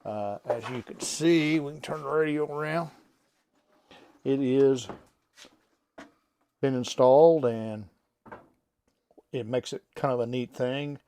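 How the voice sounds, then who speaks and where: A man giving a casual product demonstration in a folksy, halting, unscripted way, as someone who works on radio gear. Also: mid-range voice, warm tone. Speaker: radio seller and technician demonstrating equipment.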